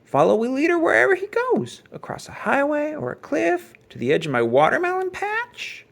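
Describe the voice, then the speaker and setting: A folksy old farmer bear man for a kids book, really high voice, swoopy. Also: huge pitch swings, moderate pace, creaky, heavily acted. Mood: folksy, instructive. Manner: folksy, scolding and explaining.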